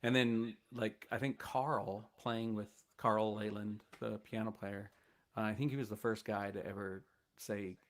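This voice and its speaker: A man's voice: fairly low pitch, flat delivery, measured pace. A man from the United States, a guest on the show, telling rambling anecdotes with fillers in a casual chat. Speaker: guest bass player.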